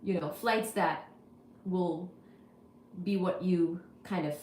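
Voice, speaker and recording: Livestream host, woman from India, relaxed filler-heavy chat, roomy sound with faint background noise. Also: lowish voice, slow pace. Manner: chatty, practical, many fillers like "you know" and "kinda". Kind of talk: casual livestream chat answering viewers.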